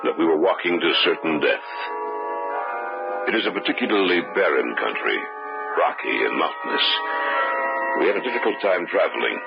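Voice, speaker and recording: A haunted survivor of a doomed expedition, speaks in a very low, grave voice. He is fearful and deliberate, in the manner of an old radio play. The sound is muffled, with loud music behind him. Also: lively intonation, gravelly. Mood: grave narrating. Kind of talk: old-time radio drama acting.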